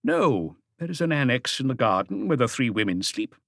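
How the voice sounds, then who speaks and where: A man's voice: medium pitch, sing-song, moderate pace, gravelly, a bit bright, dry recording, clean studio sound, full character voice. A man voicing a wealthy, guarded and haughty Dutch art collector in an audiobook, polite but defensive. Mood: curt. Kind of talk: audiobook character dialogue.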